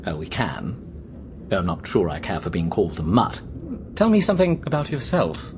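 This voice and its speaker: An earnest young English gentleman in a radio drama. His voice swings very widely in pitch, polite and eager, with a romantic streak. There is clear background noise around him. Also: huge pitch swings, moderate pace. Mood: polite, chatty. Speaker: narrator and actor. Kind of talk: radio drama narration and dialogue.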